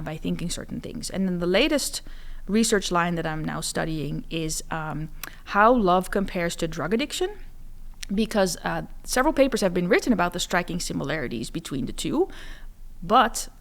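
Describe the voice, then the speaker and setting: Lady professor, slightly low voice, chatting through her research. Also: gravelly. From Netherlands. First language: Dutch. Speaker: psychology professor, podcast guest. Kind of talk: conversational explaining of research.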